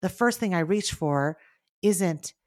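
A woman in her thirties whose native tongue is Mandarin, co-hosting a casual faith podcast with earnest, animated talk that speeds up in bursts. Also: on the low side, slow pace, no echo, studio quality. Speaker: podcast co-host.

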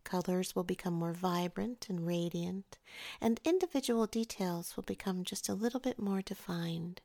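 A woman narrating a scripted guided meditation in a soothing, measured way, softening the ends of her phrases. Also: fairly low pitch, gravelly.

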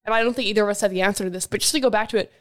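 A woman's voice: medium pitch, very fast. A young woman co-hosting a casual tech podcast, talking in a conversational, reflective way. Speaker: podcast co-host.

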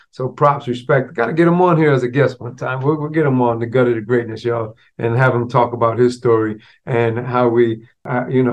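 A man talks through his own solo podcast at an even, mid-range pitch. A slight stutter makes him repeat and restart words, and he mixes street slang with business vocabulary. The recording sounds a little dull. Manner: conversational, street slang mixed with business terms. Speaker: podcast host. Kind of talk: casual solo podcast talk.

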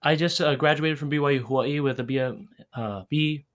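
A man's voice: on the high side, steady tone, a little fast. Young man talking with hesitant fillers. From Orange County, California. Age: young adult. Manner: hesitant, many fillers and restarts. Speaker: podcast guest. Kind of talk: casual chat. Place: room in Hawaii. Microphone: shared earbud with the host.

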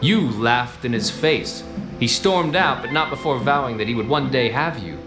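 An actor playing a cocky, bossy 1920s private detective in a noir radio comedy, with clipped wisecracking delivery. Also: male voice, medium pitch, animated, normal speed, over music, close to an everyday voice. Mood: dramatic accusing.

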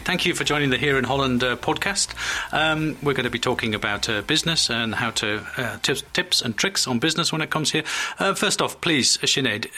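A man's voice: on the high side, pushed and bright. A man hosting a podcast, introducing his guest and asking hesitant, friendly questions with fillers. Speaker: podcast host.

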